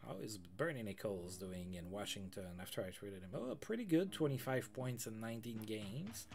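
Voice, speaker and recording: A man's voice: lowish voice, big pitch swings, normal speed, creaky, a little mellow. A man streaming a sports management game, reading out game news with casual asides. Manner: chatty, reads game news aloud with asides. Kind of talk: casual let's-play commentary.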